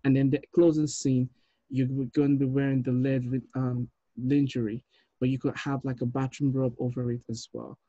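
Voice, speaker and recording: A man in his thirties with a medium-pitched voice gives instructions in an informal online meeting. He talks haltingly, and the call audio sounds dull and muffled. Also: level tone, warm tone, dry recording. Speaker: short film director leading a table read. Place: indoor room, on a video call.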